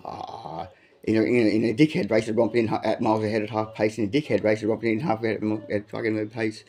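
A man's voice: fairly low pitch, level tone, a little fast, darkish tone. A man freestyling profane, aggressive rap bars, repeating lines as he goes. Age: adult, has a son. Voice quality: says he has a big voice. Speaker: amateur freestyle rapper.

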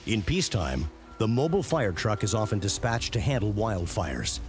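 A man reading scripted narration for a documentary series in a measured, punchy way. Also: lowish voice, sing-song. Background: prominent background music.

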